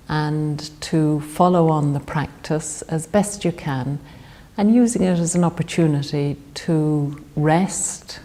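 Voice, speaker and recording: A woman guides a body scan meditation. Her voice is low and mellow, and she speaks very slowly and gently, leaving calm pauses between phrases.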